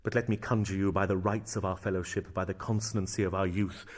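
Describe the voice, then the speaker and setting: An actor playing a brooding, sardonic young prince who feigns madness, in theatrical and measured Shakespearean delivery with hushed asides. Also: male voice, low voice, fairly even intonation. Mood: wry, probing. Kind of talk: Shakespearean stage acting in verse and prose.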